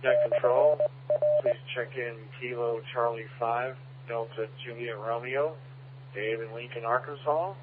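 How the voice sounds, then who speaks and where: Medium-pitched male voice, radio check-in, heavy noise, narrow tinny band. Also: fairly even intonation, unhurried. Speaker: amateur radio operator checking in. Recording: very noisy, humming, phone-like radio link.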